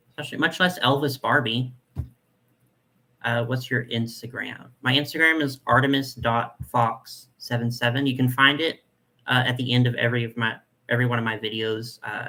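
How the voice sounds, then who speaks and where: A young man with a nasal voice hosting a casual live chat, reading comments and talking in a chatty, soft way with lots of fillers. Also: mid-range voice. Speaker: doll collector channel host.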